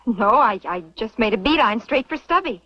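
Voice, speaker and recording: An actress playing an excitable, sweet, easily frightened young sweetheart in an old western radio drama, with a girlish manner. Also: fairly high pitch, normal speed. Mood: flustered. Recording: muffled old radio recording, music behind.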